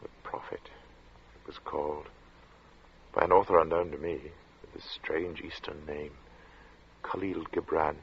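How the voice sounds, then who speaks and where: Deep-voiced solemn radio drama narrator. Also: male voice, gravelly, a little hiss or hum. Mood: measured, puzzled. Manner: measured, solemn, theatrical. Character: earnest male narrator recounting a strange encounter. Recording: muffled vintage radio recording with music.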